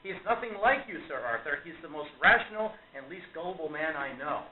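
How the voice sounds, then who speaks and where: A man's voice: fairly high pitch, animated, fairly quick, a little hiss or hum, lightly acted. Guy doing cocky stubborn young showman, radio play, swoops up and down, insistent, echoey muffled mic. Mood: stubborn zeal. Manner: declamatory, insistent. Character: brash, stubborn younger showman, boastful and zealous. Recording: roomy, muffled amateur recording.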